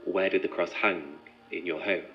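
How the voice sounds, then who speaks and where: A man's voice: deep voice, steady tone, normal speed, lightly acted. An actor playing a composed, methodical male researcher in an audio drama, speaking in a clinical, probing, precise way. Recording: muffled sound with music behind.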